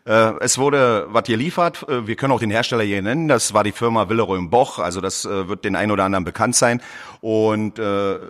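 Lower-voiced man from Berlin explaining. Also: brisk, a bit bright. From Berlin, Germany. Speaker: tradesman and social media creator, interview guest. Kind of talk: conversational interview answers.

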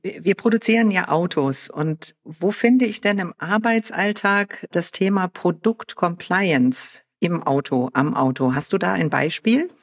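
Low-pitched woman hosting a podcast. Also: lively intonation, measured pace, hardly any pauses. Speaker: podcast host. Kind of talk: corporate podcast interview.

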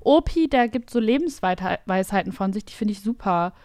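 A woman in her late twenties hosting a podcast, thinking out loud in casual chat with a reflective, self-mocking manner. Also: medium pitch, animated, normal speed, no echo, studio quality. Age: late 20s. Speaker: podcast host.

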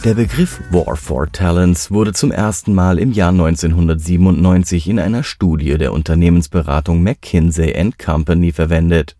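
A man reading a scripted definition in an even, informative way. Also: deep voice, moderate pace, hardly any pauses, no room sound. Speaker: explainer segment narrator.

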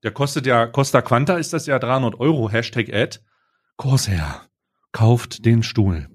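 A man's voice: medium pitch, normal speed. A man co-hosting a podcast, chatting casually in a rambling, joking, slangy way into a close microphone. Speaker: podcast co-host. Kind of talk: casual banter chat.

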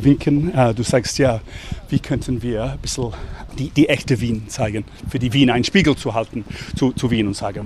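English-accented man, mid-pitched, walking through city streets with traffic faint behind, halting and animated. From England. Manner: hesitant, lively, many fillers. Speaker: guest, walking tour guide. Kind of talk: casual chat while walking.

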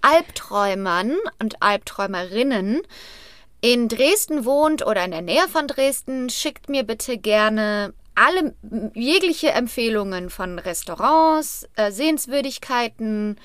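Higher-voiced woman in casual podcast chat. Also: animated, takes it slowly, fairly bright tone, clear, resonant tone, dry recording, studio quality. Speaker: podcast host.

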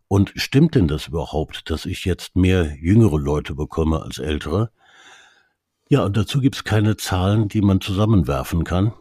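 Man, deep dark voice, husky, dry close sound, hesitant with fillers. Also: no room sound. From Canada. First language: English. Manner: hesitant, many restarts and fillers. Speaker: media expert guest. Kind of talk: casual expert conversation.

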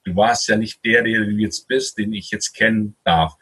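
Husky, bright-voiced male interview host. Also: on the low side, fairly even intonation, moderate pace. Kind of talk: conversational introduction and interviewing.

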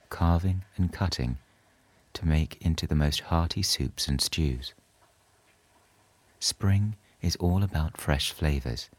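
A man narrating a scripted bedtime story in a soothing, measured manner. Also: rumbling low.

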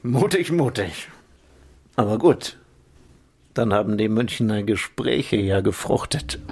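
An actor playing a smug, condescending senior intelligence official in a radio play, patronising and mocking. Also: male voice, fairly low pitch, animated, slow, a little mellow, slightly rough, exaggerated voice.

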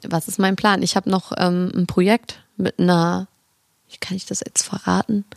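Woman, podcast host, casual chat, gravelly, lowish pitch, dry close sound. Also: flat delivery, no echo. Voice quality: gravelly low voice. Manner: warm, chatty, quick to laugh.

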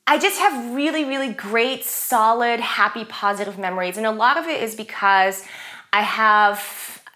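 Bright-voiced woman reminiscing fondly. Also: a little high, moderate pace. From Germany. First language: German. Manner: warm, effusive reminiscing. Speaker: guest. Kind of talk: casual interview conversation.

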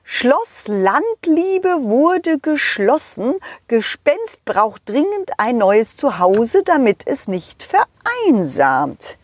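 A woman voicing a confident, loyal, kindly dog who tells his adventures to children in a chatty, halting, empathetic way. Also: high-pitched, sing-song, no echo, voice pushed up, full character voice. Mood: earnest, kindly. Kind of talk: children's audio play storytelling.

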